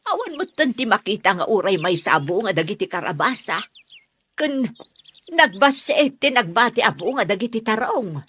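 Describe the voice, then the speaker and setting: A woman's voice: medium pitch, sing-song, moderate pace, fairly bright tone, breathy edge. A woman acting in a radio drama as a worried, aggrieved villager, earnest and plaintive. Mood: dismayed.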